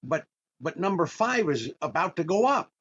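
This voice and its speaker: A man's voice: fairly high pitch, big pitch swings, moderate pace, fairly bright tone, husky, exaggerated voice. An actor playing a fussy, hesitant, easily exasperated male comedian in a 1940s-style radio comedy. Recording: dull online radio-play recording.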